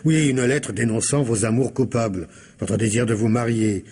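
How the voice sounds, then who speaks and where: A man playing a stern police commissioner in a French radio mystery, formal and deliberate as he questions and warns. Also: mid-range voice, steady tone, warm tone, husky, natural voice. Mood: grave, warning.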